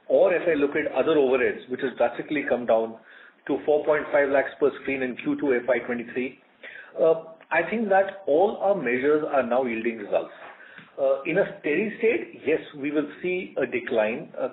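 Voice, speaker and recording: A man with an Indian accent answering as a company executive on an earnings call, measured and emphatic, in short chunks. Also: medium pitch. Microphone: conference call line. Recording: muffled narrowband conference call audio.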